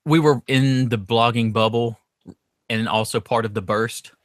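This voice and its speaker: A man's voice: mid-range voice, fairly even intonation, measured pace, dry recording, studio quality. A man co-hosting a podcast, chatting and joking casually. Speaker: podcast co-host.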